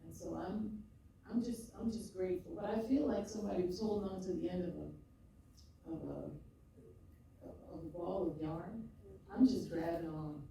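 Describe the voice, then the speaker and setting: An older woman, a poet and podcaster, telling her story in an expressive, rhythmic way and often laughing, before a live audience. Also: lowish voice, a little slow, creaky. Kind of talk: reflective conversational storytelling. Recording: echoey hall, mic far off.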